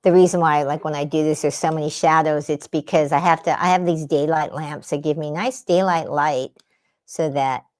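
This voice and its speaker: A woman's voice: deep voice, steady tone, normal speed, a little mellow. Chatty woman livestreaming from her art studio. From San Francisco Bay Area. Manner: chatty and meandering, answering viewers' comments. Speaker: livestream host and spiritual artist. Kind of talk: casual livestream chat while painting. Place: home art studio under daylight lamps.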